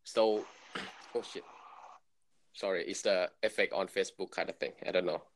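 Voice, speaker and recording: A man in his late twenties, from Thailand, co-hosting a podcast in relaxed chat full of fillers and laughs. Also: deep voice, normal speed. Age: 20s. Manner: loose, laughing, lots of fillers. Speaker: podcast co-host. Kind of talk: casual chat.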